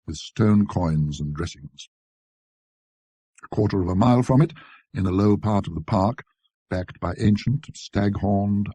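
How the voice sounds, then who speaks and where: A man narrating a classic ghost story in a precise, scholarly, measured manner. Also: on the low side, mellow, husky, no room sound.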